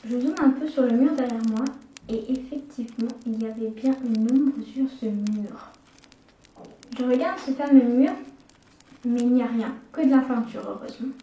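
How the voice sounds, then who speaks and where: A young woman narrates a first-person horror tale in a mellow, slightly high voice. She slows down to build suspense. The recording sounds roomy, with her a little away from the mic. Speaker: storyteller. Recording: echoey room, distant from the mic.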